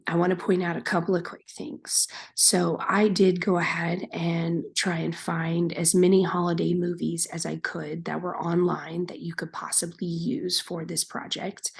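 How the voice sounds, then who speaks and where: Hoarse woman teacher, low gravelly half-whisper, apologetic and patient with students. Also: a little mellow. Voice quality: raspy, breathy, half-whispered. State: hoarse from being sick, voice raspy. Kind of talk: recorded class instructions.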